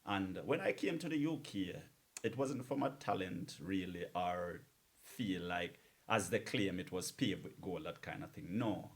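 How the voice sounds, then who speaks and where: A man's voice: low-pitched, expressive, a little slow. Earnest male DJ repeating words for emphasis. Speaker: radio DJ. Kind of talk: casual talk between songs.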